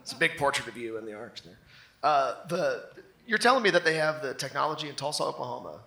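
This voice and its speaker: A man's voice: mid-range voice, lively intonation, fast talker, vocal fry, bright, forceful tone. A man co-hosting a live comedy show with casual, joking banter. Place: live show in front of a room audience.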